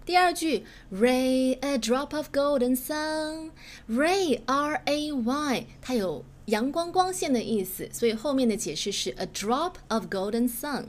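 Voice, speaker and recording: Female language teacher, native Chinese speaker, higher-pitched voice, chatty step-by-step explainer. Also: expressive, measured pace. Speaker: English teacher and podcast host. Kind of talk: explanatory language lesson, reading lines aloud and glossing them.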